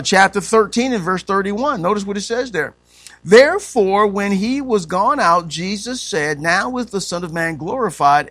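High bright-voiced preacher in his fifties. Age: 50s. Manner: preaching with frequent "amen" and rising emphatic questions. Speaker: pastor. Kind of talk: sermon.

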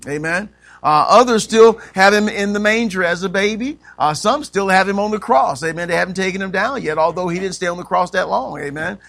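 High bright-voiced preacher in his fifties. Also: fairly quick, creaky. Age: 50s. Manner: preaching with frequent "amen" and rising emphatic questions. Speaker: pastor. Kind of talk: sermon.

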